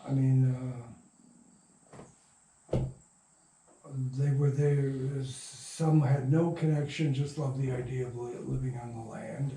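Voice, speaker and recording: A man's voice: mid-range voice, level tone, warm tone. An older man reminiscing in a casual interview, rambling and often interrupting himself. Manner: halting, rambling, self-interrupting. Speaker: interviewee, radio play writer and producer. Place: room in a house. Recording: roomy echo, distant from mic.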